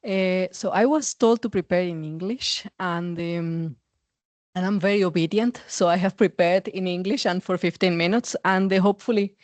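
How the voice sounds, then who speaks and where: A woman with a Mexican accent, a psychologist and university associate dean, giving a seminar talk in a measured way, filled with "uh" pauses and restarts. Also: fairly low pitch, darkish tone, no echo.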